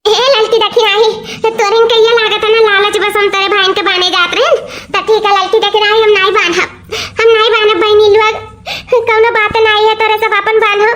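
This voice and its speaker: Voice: very high-pitched, flat delivery, fairly quick, sharp tone. A performer voicing a tearful young married woman from an Awadhi cartoon comedy who misses her brother, whining and complaining at length. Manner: rambling, self-pitying complaints.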